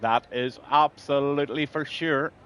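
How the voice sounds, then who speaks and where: A man's voice: mid-range voice, fairly even intonation, measured pace, a bit bright. A man with a Scouse accent commentating a sim race on a live stream, greeting viewers by name and letting his voice trail off at the ends of lines.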